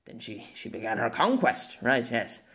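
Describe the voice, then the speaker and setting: A man playing a grown man who mutters halting words to himself after a nightmare. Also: medium pitch, sing-song, fairly bright tone. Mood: shaken.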